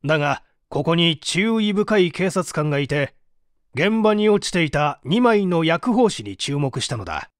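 Man acting a stern, measured male prosecutor. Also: fairly high pitch, big pitch swings, no room sound, clean studio sound. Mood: formal, measured. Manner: formal, measured, probing. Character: stern, methodical male prosecutor pressing a suspect. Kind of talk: dramatic audiobook reading.

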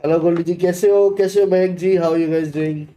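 A man's voice: high-pitched, fairly even intonation, moderate pace, warm tone, highs cut off. A man in his thirties with an Indian accent, chatting casually with viewers as a gaming live streamer, in an informal manner. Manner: informal, code-switches between Hindi and English. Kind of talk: casual livestream chat, reading and answering viewers. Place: small gaming room indoors.

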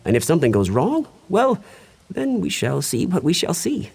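Eccentric scholar mage for a fantasy game: careless, theatrical, swooping pitch, husky edge. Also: male voice, wildly swooping pitch, moderate pace, darkish tone, heavily acted. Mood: absent-minded, hopeful. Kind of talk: fantasy video game dialogue.

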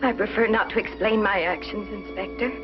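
A woman's voice: medium pitch, a little fast, close to an everyday voice. Woman acting reserved titled lady, dull old soundtrack. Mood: reserved, guarded. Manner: cool, formal, terse. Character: reserved, dignified titled lady, guarded and unyielding. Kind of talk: 1950s television drama acting. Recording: dull 1950s broadcast soundtrack, loud music.

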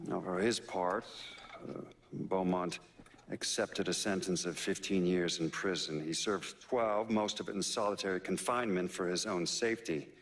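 Deep-voiced older investigator. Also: male voice, steady tone. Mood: grave, measured. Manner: measured, hesitant, matter-of-fact. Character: older man, measured investigator recalling a case. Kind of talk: mock documentary interview acting.

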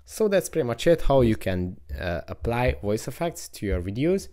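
A man's voice: medium pitch, sing-song, moderate pace, dark tone. A man narrating a step-by-step how-to tutorial as its host. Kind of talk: how-to screen-recording narration.

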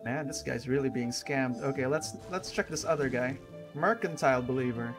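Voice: medium pitch, measured pace, music in the background. A gamer giving casual let's play commentary with wry, sceptical asides. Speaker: gaming video host.

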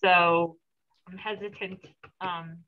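A woman's voice: on the low side, steady tone, takes it slowly, a little hiss or hum. A woman in her thirties, a teacher, talking and reading on a video call from a school room. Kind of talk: casual talk and poem reading on a video call. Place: classroom or school office with bookshelves. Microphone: laptop mic on a video call. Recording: slightly dull video-call audio.

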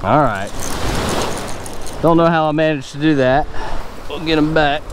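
Young man, fishing vlogger, fairly high voice, chatty self-narration on a beach, clear wave noise behind. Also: a little slow. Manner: excited, talking to himself between casts. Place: open beach at the surf line, outdoors. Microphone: wearable action camera. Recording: outdoor wind and surf noise. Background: surf and waves.

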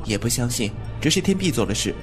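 A man's voice: medium pitch, sing-song, fast, over music, lightly acted. A man playing a flippant, wilful young beggar-clan heir in a Chinese wuxia audio drama, teasing and defiant.

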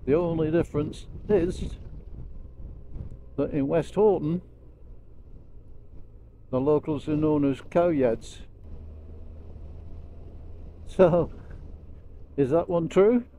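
Lancashire bloke telling stories on his motorbike, soft mellow voice, bit of vehicle noise behind him. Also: on the high side, takes it slowly, long pauses. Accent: Lancashire English. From Lancashire, England. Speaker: motorcycle vlogger. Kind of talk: casual storytelling commentary while riding. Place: outdoors on a moving motorbike. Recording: vehicle noise while riding.

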